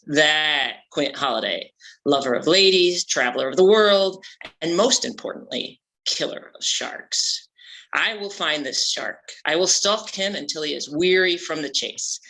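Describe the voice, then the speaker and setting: A man's voice: fairly high pitch, sharp tone, full character voice, rough recording. A man playing a vain, boastful ex-pirate shark hunter, grand and pompous, in a comedy table read.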